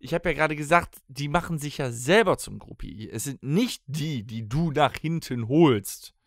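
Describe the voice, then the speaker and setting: Lively higher-pitched man. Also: moderate pace, no echo, clean studio sound. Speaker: podcast co-host and band musician. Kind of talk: casual chat, bantering. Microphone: close studio podcast microphone.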